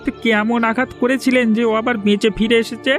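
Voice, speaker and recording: A man's voice: extremely high pitch, level tone, quick pace, a bit bright. A man voicing a greedy, bossy, calculating village bandit in a cartoon. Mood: scheming. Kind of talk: cartoon voice acting. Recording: music behind the voice.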